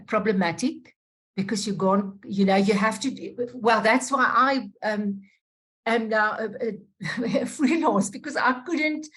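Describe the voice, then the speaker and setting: A woman speaking as a journalist on a panel over a video call, talking in a halting way with many restarts. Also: medium pitch. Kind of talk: unscripted panel talk.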